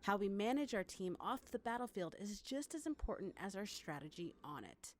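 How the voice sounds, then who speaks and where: A woman's voice: medium pitch, very animated, moderate pace. A woman narrating a scripted promotional video for a game in the manner of a trailer voice-over.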